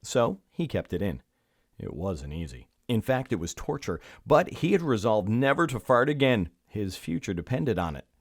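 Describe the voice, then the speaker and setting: Animated low-voiced man reading a children's story. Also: moderate pace, no echo, clean studio sound. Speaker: storybook reader. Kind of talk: expressive scripted children's story narration.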